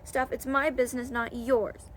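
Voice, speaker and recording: A woman's voice: high-pitched, little pitch movement, normal speed, close to an everyday voice. A woman voicing a stubborn, upset schoolboy who talks back bluntly, in a storybook reading. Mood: angry, upset. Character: defiant, upset schoolboy refusing his teacher. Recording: clear background noise.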